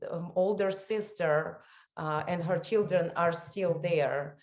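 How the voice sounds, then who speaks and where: Female interview guest, Ukrainian accent, very slow halting delivery, echoey video-call sound. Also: lowish voice, a little mellow. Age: middle-aged. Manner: halting, searching for words. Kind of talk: personal account in an interview. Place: indoor room on a video call.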